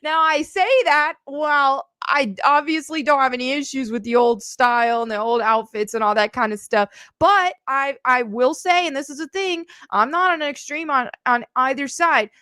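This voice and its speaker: A woman with a somewhat high voice shares her opinion off the cuff. She pauses, repeats words and carefully qualifies what she means. The recording is close and free of echo. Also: sharp tone. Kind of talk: unscripted opinion commentary.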